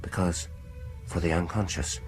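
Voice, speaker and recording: An actor playing a smooth-talking, insistent British dentist in a radio horror drama, deliberate and persuasive, often dropping into near-whispers. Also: male voice, low-pitched, steady tone, lightly acted. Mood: intense, insistent. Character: smooth-talking, insistent male dentist coaxing a frightened patient. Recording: radio drama with music behind.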